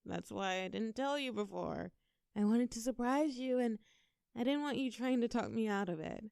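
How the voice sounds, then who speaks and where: A woman's voice: fairly high pitch, animated, normal speed, vocal fry, a little mellow, smooth, no room sound, clean studio sound. A young woman playing a bubbly, affectionate girlfriend in an intimate audio roleplay, talking playfully and tenderly to her partner in a coaxing, conversational way.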